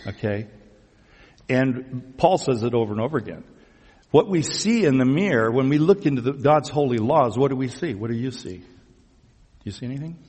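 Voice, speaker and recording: A man's voice: mid-range voice, lively intonation, normal speed, a little mellow, breathy edge. A man speaking as a pastor in a conversational sermon, teasingly putting questions to the congregation and repeating phrases back. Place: large church room before a congregation. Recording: reverberant hall sound.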